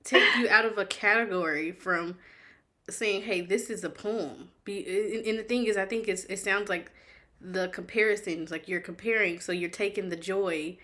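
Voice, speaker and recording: A woman's voice: mid-range voice, normal speed, sharp tone. A woman hosting an interview, asking curious questions and thinking aloud in a chatty way. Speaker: interview host. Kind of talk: casual interview conversation.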